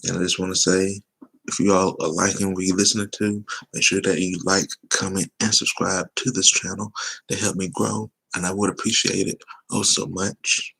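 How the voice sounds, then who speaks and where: A man chatting loosely and teasingly on a sports talk livestream, with a voice he says is going hoarse. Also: low-pitched, flat delivery, moderate pace, fairly bright tone, rough recording. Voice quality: gravelly, husky. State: losing his voice. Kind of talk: casual livestream chat.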